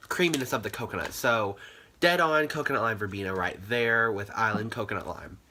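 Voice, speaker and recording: A man's voice: medium pitch, bright, forceful tone, a little hiss or hum. A young man with a stuffy, nasal voice from congestion, chatting casually and filled with "like" as he reviews wax melts on his channel. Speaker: candle channel host. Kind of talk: casual product review vlog.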